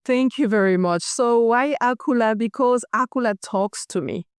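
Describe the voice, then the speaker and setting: Woman, company founder, earnest storyteller; smooth, dry close sound. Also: a little high, normal speed, dry recording. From Democratic Republic of the Congo. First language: Chiluba. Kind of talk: podcast interview.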